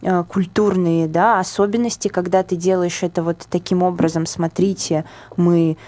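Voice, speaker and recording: A woman hosting a radio talk show, lively, putting questions to listeners and reading out phone numbers from a studio. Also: lowish voice, normal speed. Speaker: radio host. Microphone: studio microphone.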